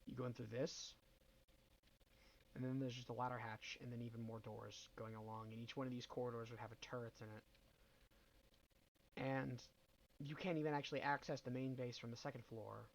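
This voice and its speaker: A man's voice: mid-range voice, fairly even intonation, fairly quick, long pauses, a little hiss or hum, poor audio. A young man with a head cold narrates his game build in a loose, rambling way. His mid-range voice is stuffy, full of ums, restarts and second thoughts. He sounds like a casual gaming host at his desk mic. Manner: rambling, hesitant, many ums and self-corrections. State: nasal from a cold.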